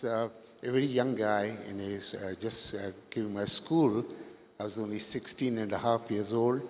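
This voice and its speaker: An older man from Pakistan, a retired pilot speaking as a guest on stage, talking haltingly with many fillers and restarts. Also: lowish voice. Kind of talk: halting live stage interview. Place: large event hall. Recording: long hall reverb, faint noise.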